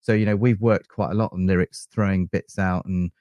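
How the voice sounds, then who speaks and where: A man's voice: low-pitched, steady tone, brisk, a little mellow, dry recording, clean studio sound. A middle-aged man with an English accent, talking casually as a musician guest in an interview. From England.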